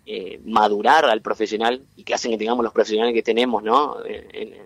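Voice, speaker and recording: Halting argentine man from entre ríos. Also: on the low side, level tone, fairly bright tone, husky. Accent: Entre Ríos Argentine Spanish. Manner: halting, many fillers and restarts. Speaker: guest, tech cluster president. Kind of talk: interview, explaining at length.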